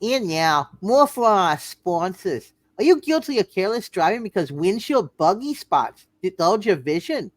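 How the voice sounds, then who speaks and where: A woman's voice: medium pitch, very animated, normal speed, few pauses, dry recording. A woman announcer reading old-time radio sponsor spots in a playful, hammy style.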